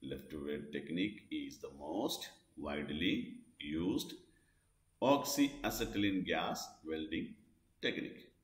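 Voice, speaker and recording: Slow, low-voiced male instructor in echoey room. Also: lively intonation. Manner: deliberate, repeats each question and answer. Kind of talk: scripted question-and-answer lesson narration. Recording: echoey, roomy voice-over.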